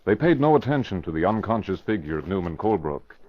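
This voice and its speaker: An actor narrating an old radio mystery drama in a measured, solemn, dramatic way. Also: male voice, deep voice, sing-song, very noisy. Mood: grave. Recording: muffled vintage radio recording with music.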